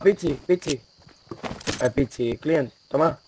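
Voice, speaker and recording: A young man with a Rioplatense accent, a teammate who talks loudly and teases his friends in voice chat. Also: on the high side, takes it slowly, slight background noise, rough recording. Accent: Rioplatense Spanish. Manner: loud, excitable, teasing. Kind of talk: casual gaming chat with friends.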